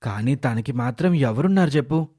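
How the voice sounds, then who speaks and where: A man voicing a caring middle-aged father and husband, earnest and reasoning, in an animated moral story. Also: mid-range voice, brisk, lightly acted. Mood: pleading.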